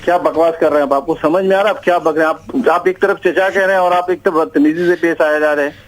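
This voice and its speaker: A man with a high voice protests on a radio prank call. He sounds flustered and agitated as he argues his side. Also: fairly even intonation, quick pace, poor audio. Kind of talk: agitated phone conversation.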